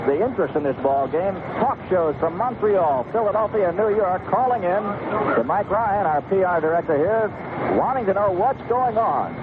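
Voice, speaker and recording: A man's voice: high-pitched, lively intonation, moderate pace. A man doing live baseball play-by-play commentary as a radio announcer. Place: open-air stadium broadcast booth. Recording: narrowband 1970s radio broadcast, loud stadium noise. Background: loud stadium crowd and ambient noise.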